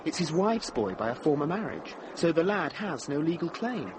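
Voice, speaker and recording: Animated young novice monk. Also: male voice, fairly high pitch, moderate pace, background noise. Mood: curious, gossipy. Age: young adult. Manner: gossipy, ingenuous. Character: chatty, curious young male novice monk, eager gossip. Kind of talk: radio drama acting.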